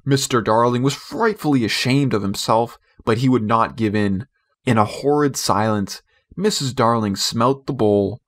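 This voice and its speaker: A male audiobook narrator reads literary fiction. His voice sits at a medium pitch. The storytelling is measured and expressive.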